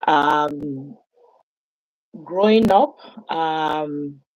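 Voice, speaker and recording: A Yoruba-speaking woman from Nigeria with a Nigerian accent, calmly telling her story as an interview guest. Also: sits low, extremely slow, no room sound, muffled recording. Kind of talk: interview answers.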